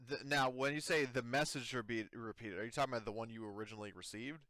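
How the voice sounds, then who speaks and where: A man running a tabletop roleplaying game as game master, improvising casually with hesitant, halting phrasing. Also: medium pitch, steady tone, pushed and bright.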